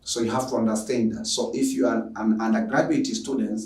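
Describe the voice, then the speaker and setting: A man's voice: on the low side, steady tone, a little fast, darkish tone. A man from Tanzania with a Tanzanian accent, introducing an online lecture as a teacher with emphatic, repetitive phrasing. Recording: echoey room, distant microphone.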